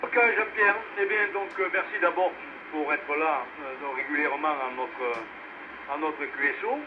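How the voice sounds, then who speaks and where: A man with a slightly high-pitched voice hosts an amateur radio net in a casual, hesitant way. His halting speech comes through a thin, narrowband two-way radio signal with clear noise over it. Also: fairly even intonation. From France. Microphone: two-way radio microphone. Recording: noisy narrowband amateur radio relay transmission.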